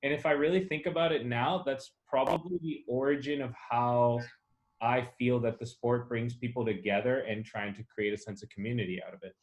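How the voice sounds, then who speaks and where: A man's voice: mid-range voice, steady tone, fairly quick, low-quality recording. Man reflectively telling his own story. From born in Chile, raised in the US, Chile and China. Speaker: interviewee, soccer club founder. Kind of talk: casual personal storytelling.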